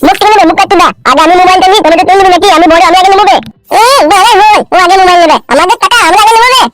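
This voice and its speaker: A woman voicing an excitable, bickering villager in a comedy cartoon, whiny, with a pitched-up, sped-up voice. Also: very fast. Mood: whiny, demanding. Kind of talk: exaggerated cartoon comedy dialogue.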